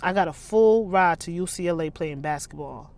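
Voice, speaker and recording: A woman's voice: lowish voice, normal speed, darkish tone, lightly acted. Storybook gangster man read by a woman, American, short-tempered, contemptuous street slang. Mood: boastful, relaxed. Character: hard, menacing man, ex-convict street boss, short-tempered.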